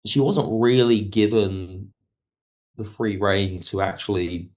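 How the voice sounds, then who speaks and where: Man, deep voice, measured explanatory delivery, conversational interview commentary, digressive. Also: a little mellow. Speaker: podcast guest, television history expert.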